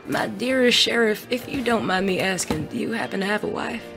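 Smooth-talking gentleman bandit acted by a woman, polite and wordy with marked vocal fry, audio Western. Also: mid-range voice, lively intonation, brisk, music in the background, natural voice. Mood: sly, courteous. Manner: genteel, formal, smooth-talking. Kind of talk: audio drama acting.

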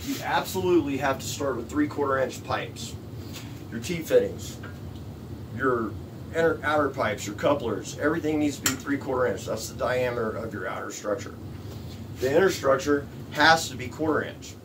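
A man's voice: medium pitch, normal speed. Folksy man, distant noisy workshop recording. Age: middle-aged. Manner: folksy, plainspoken, repeats points for emphasis. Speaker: DIY tool-building presenter. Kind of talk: hands-on workshop tutorial, casual. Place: small cluttered indoor workshop. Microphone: camera a few steps away. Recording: distant camera mic, noisy workshop hum.

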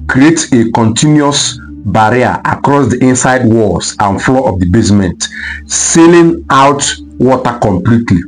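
A man reading a scripted documentary voice-over in a steady, explanatory way. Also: mid-range voice, a little slow, poor audio. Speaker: narrator. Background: faint background music.

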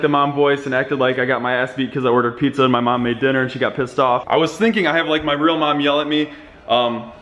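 A man's voice: mid-range voice, a bit bright. A young American man chatting casually to camera as a vlogger, informal and jokey. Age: young adult. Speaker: vlogger, prankster. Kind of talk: casual vlog chat to camera. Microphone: handheld camera at arm's length.

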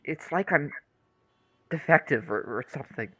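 A man's voice: on the high side, lively intonation, normal speed, bright, forceful tone. A man playing a withdrawn, dejected young brother in a radio play, hesitant and defensive. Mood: self-doubting, distressed.

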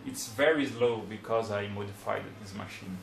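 A young man from Brazil with a Brazilian Portuguese accent, in his twenties, speaking English as a second language in a casual how-to demonstration. He talks in a plain, unhurried way and searches for words, at a desk in a small room. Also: lowish voice, vocal fry, roomy sound, slight background noise. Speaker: hobbyist demonstrator.

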